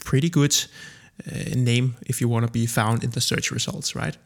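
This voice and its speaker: A Danish man in his thirties, a native Danish speaker, hosting his own podcast and telling his background in a relaxed way with many pauses and fillers. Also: medium pitch, creaky. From Denmark. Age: 30s. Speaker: podcast host. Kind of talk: casual solo podcast monologue.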